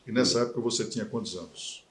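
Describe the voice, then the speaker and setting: A man hosting a formal TV interview with a Brazilian Portuguese accent, speaking in a measured, emphatic way with dramatic pauses. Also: fairly low pitch, roomy sound. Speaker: TV interview host.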